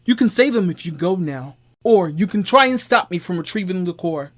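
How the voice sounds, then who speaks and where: A man playing a vengeful, calculating mad scientist in a superhero radio drama, with a deliberate, menacing delivery. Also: high voice, animated, fairly bright tone. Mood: taunting. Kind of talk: old-time radio villain acting.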